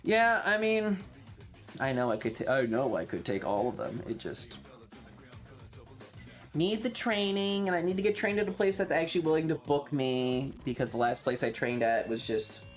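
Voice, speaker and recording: A man's voice: medium pitch, animated, moderate pace, soft background music. A man chatting casually on a livestream, rambling and swearing with enthusiasm as he reacts and gives his takes.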